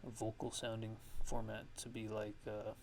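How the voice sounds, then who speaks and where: A man narrating a casual software tutorial as a music production host, speaking haltingly as he thinks aloud. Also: on the low side, completely flat delivery, gravelly, slight background noise.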